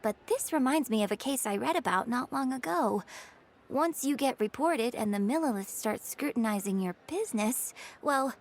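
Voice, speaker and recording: A woman playing a poised, polite and quietly cunning young opera performer in a video game. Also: on the high side, lively intonation, moderate pace. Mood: feigned innocence. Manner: composed, courteous, feigned innocence. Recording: background music under dialogue.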